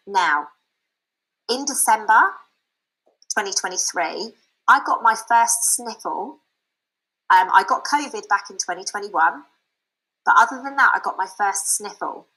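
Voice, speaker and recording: Frank, bright-voiced woman. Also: medium pitch, low-quality recording. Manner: frank and chatty, with many fillers and restarts. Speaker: speaker sharing a product testimonial. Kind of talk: candid personal testimony and sales pitch.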